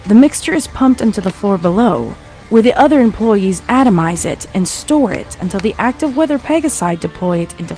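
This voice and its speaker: A man reading a scripted dark horror story as its narrator. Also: sits high. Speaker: fan fiction narrator.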